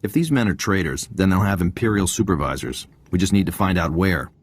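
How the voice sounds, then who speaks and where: Male for game intelligence agent: deep bass register, wry, brisk, sure of himself. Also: expressive, husky, no echo. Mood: businesslike. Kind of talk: video game dialogue.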